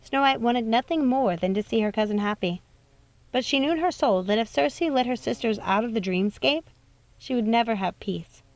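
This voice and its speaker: A woman's voice: fairly high pitch, expressive, moderate pace. A woman narrating a storybook expressively as an audiobook reader.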